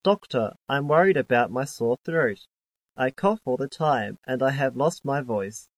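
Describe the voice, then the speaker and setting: A man reading scripted dialogues for an English listening test in a plain, measured way. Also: medium pitch, animated, background noise. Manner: plain, measured textbook delivery.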